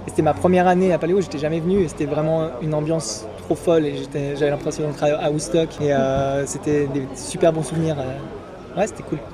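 Soft-spoken man at a noisy festival. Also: a little high, little pitch movement, quick pace. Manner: hesitant, soft, trailing off. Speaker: band member. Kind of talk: casual festival interview. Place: outdoors at a music festival. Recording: loud festival music and crowd behind.

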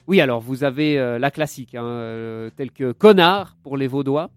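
A man's voice: mid-range voice, moderate pace, clear, resonant tone, dry recording, soft background music. A man hosting a casual radio-style chat, joking and chatty, raised with Italian alongside French. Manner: joking, chatty, drifts into asides. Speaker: podcast co-host.

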